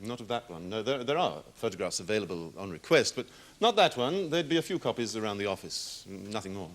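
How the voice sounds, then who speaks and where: A male television producer testifying in a courtroom drama. He speaks quickly and with lively pitch movement. His answers are clipped and courteous, with a guarded, defensive edge. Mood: cooperative. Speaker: witness.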